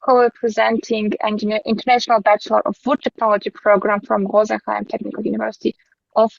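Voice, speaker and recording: Gravelly monotone moderator, dry lo-fi recording. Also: mid-range voice, fast, no echo. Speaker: moderator introducing a speaker. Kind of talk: brief introduction. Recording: dull, dry lo-fi audio.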